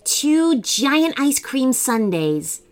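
A woman voicing curious, excitable young girls in a children's adventure story, full of questions and exclamations. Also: high-pitched, drawn-out words, voice pushed up, exaggerated voice. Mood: excited.

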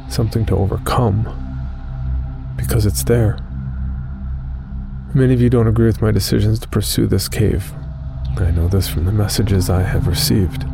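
Guy acting a haunted caver telling his story, low creaky voice, solemn and deliberate, music underneath. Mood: grimly resolved. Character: Ted, driven haunted amateur caver writing a journal. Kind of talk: dramatic first-person horror narration.

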